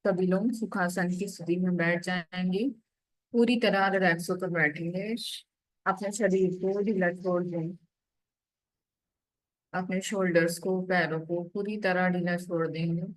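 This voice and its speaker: Low, even-toned Indian woman guiding meditation. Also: unhurried, no echo, low-quality recording. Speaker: guided meditation instructor. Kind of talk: guided meditation instruction.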